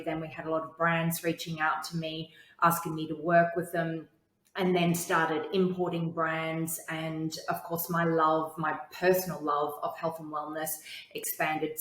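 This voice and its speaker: A woman's voice: deep voice, echoey room, rough recording. A woman being interviewed as an entrepreneur and TV host, telling her story in an articulate, confident way.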